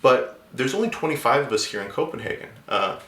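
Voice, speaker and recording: A man's voice: medium pitch, lively intonation, brisk, a bit bright, echoey room. A man giving casual, conversational job-search advice in a vlog, often restarting his sentences. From native English speaker. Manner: conversational, many restarts and filler words.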